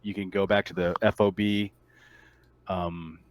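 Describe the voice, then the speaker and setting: A man narrating a tabletop roleplaying game as game master in a halting, conversational way. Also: low-pitched, level tone, a little hiss or hum.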